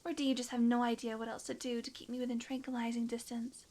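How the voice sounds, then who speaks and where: A woman's voice: on the high side, level tone, fast, a little mellow, close to an everyday voice. A woman acting a sly, sarcastic, flirtatious female vampire in an intimate audio roleplay, teasing and sometimes dropping into a murmur. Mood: sardonic, teasing.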